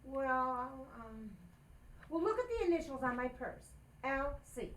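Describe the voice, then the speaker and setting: An actress playing a persistent, excitable young housewife in an old-time radio comedy, in a roomy, noisy recording. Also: female voice, sits high, measured pace, heavily acted. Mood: pleading, flustered. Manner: pleading, excitable, exclaiming. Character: flustered, persistent young housewife, scheming and indignant. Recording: roomy echo with clear background noise.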